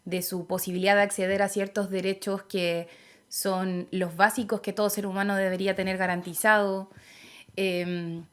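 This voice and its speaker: Thoughtful young Chilean woman. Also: medium pitch. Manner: thoughtful, searching for words, hesitant fillers. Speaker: interview guest. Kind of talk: reflective conversational interview.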